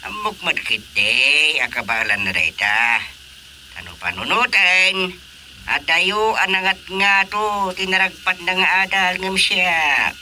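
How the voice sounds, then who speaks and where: An older village husband with an Ilocano accent and a very bright, forceful voice. He sits fairly high for a man and sounds concerned, in radio drama style, over faint background noise. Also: animated, takes it slowly, full character voice. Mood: questioning.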